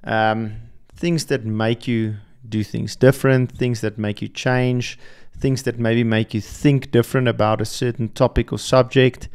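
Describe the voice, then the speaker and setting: A man's voice: mid-range voice, little pitch movement, measured pace, darkish tone, smooth. A young adult man whose first language is Afrikaans, hosting a podcast and reflecting alone in a hesitant way with many restarts. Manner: hesitant, with frequent restarts and fillers. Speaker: podcast host. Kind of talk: solo reflective monologue.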